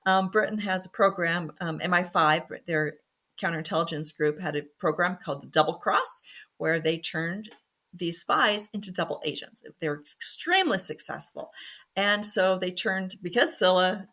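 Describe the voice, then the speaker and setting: A woman's voice: fairly low pitch, sharp tone. A woman author, a former pharmacist, talking casually in an interview with many fillers and restarts and easy laughs.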